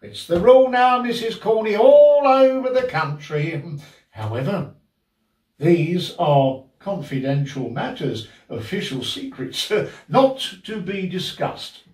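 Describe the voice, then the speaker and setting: An actor plays a self-important older parish beadle from a Victorian stage comedy. His high voice swoops widely as he speaks in halting, pompous phrases. It is picked up from a distance in a roomy space. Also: big pitch swings, a little mellow, full character voice. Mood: pompous, confiding. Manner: pompous, halting, chuckling delivery. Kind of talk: theatrical period comedy acting. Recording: roomy, distant camera microphone.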